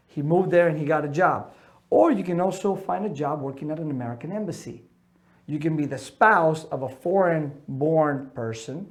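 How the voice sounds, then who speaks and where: A middle-aged man from Ecuador coaching veterans in a seminar talk, earnest and emphatic, his voice swinging between loud and soft. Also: a little high. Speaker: military transition coach.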